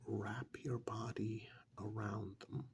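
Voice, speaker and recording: A man playing a polite, flustered, earnest tax accountant in a soft-spoken ASMR role-play, hesitant, apologetic and often repeating himself in a whispery voice. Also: lowish voice, steady tone, slow, gravelly, slight background noise. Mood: polite, attentive.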